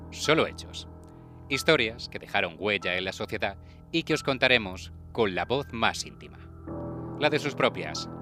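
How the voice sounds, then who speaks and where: A man hosting a true-crime radio show. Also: mid-range voice. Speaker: radio host. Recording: music bed behind the voice. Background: background music.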